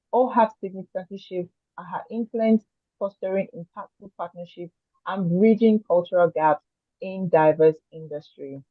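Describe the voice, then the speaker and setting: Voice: medium pitch, dry recording. A host introduces a guest to an online conference in an encouraging tone. The call audio is thin and phone-like, with a dry, close sound and no room around it. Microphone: video call.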